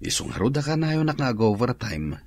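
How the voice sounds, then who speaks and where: A man's voice: mid-range voice, big pitch swings, moderate pace, vocal fry, a little mellow. An actor playing a hopeful, hard-working middle-aged father in a radio drama. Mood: hopeful, weary.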